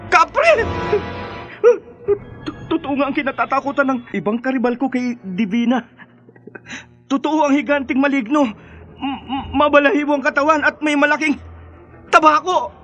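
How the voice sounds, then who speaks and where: A man playing a timid, excitable young suitor in a horror radio drama, with a Filipino accent and a dramatic, often stammering delivery. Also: very high-pitched, moderate pace, long pauses, highs cut off, faint music behind. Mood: terrified. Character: young male suitor, superstition-struck, timid, excitable son.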